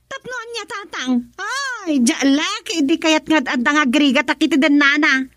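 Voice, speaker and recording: A woman playing a young Filipino woman in a romantic family radio drama, with a breathy, husky voice and an emotive delivery. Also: sits high, moderate pace, fairly bright tone, no echo. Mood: indignant.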